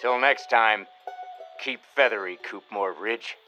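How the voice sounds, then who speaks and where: An actor playing an excitable male radio host, narrating melodramatically in an old-time style. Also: on the low side, a little slow, music in the background, lightly acted, poor audio. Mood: dramatic, wrapping up. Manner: melodramatic, exclamatory.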